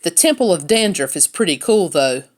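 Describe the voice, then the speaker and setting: A woman's voice: fairly low pitch, expressive, moderate pace. A woman voicing an earnest, plain-spoken young city boy in a storybook. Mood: matter-of-fact, unsure.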